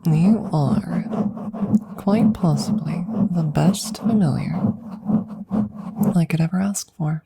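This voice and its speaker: Woman doing a sweet teasy witch for asmr roleplay, slow n soft, mellow, bit of fry, whispery. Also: lowish voice, a little hiss or hum. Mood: teasing, fond. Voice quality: soft, breathy, husky, often dropping to whispers. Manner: gentle, unhurried, cooing, playfully teasing. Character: affectionate, teasing young witch doting on her werewolf familiar. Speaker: audio roleplay performer.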